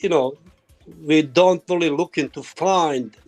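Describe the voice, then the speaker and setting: A man's voice: on the high side, soft background music. Home inspector man. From former Yugoslavia. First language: Croatian. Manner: halting non-native phrasing. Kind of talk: casual interview.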